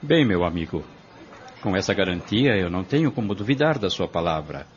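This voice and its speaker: Man acting a low-voiced, animated travelling salesman. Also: brisk. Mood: grateful. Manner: courteous, earnest. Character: polite travelling salesman in his early thirties, grateful. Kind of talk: radio drama acting. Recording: dull lo-fi audio.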